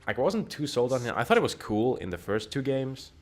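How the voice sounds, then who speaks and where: A man with a Finnish accent chatting and joking over a game at home, his voice jumping up when excited. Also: mid-range voice, brisk. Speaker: game streamer. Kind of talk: casual game commentary. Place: home room.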